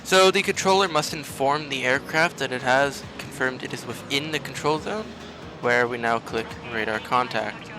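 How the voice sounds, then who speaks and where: A man's voice: medium pitch, moderate pace, rough recording. Man narrating a game tutorial over music. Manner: explanatory, step-by-step instruction. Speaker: gaming video host.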